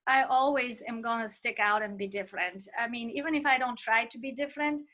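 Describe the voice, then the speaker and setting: A woman's voice: fairly high pitch, steady tone, fairly quick, sharp tone. A middle-aged woman who speaks with an accent, teaching a recorded online ministry class with earnest personal stories and frequent fillers. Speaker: ministry instructor.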